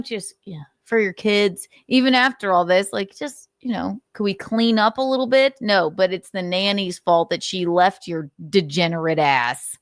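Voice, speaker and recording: A woman's voice: on the low side, no room sound. Woman, livestream host, reading with commentary, blunt, sarcastic, theatrical, dry sound. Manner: blunt, sweary, indignant asides. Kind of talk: reading notes aloud with candid commentary.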